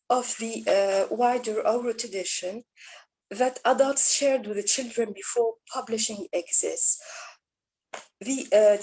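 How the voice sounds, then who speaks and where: A middle-aged woman, an Arabic speaker, presenting her book over a video call in a halting, lecturing way. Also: a little high, mellow, husky, poor audio. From Tunisia. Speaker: author and teacher. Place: indoor room.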